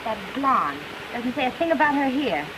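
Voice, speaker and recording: An actress playing a detective's jealous, sharp-tongued sweetheart, with tart delivery in a 1940s film noir style. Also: female voice, sits high, big pitch swings, normal speed, background noise. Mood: jealous, accusing. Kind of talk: 1940s film noir comedy-mystery acting.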